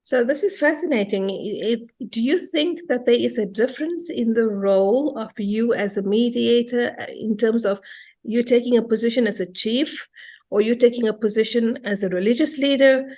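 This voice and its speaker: A woman's voice: fairly high pitch, normal speed, dark tone. A woman asking questions conversationally in a research interview.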